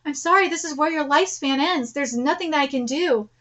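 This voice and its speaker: A woman voicing a calm, solemn man, a quiet and grave escort of the dead from a manga. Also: high voice, fairly quick. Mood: solemn, regretful.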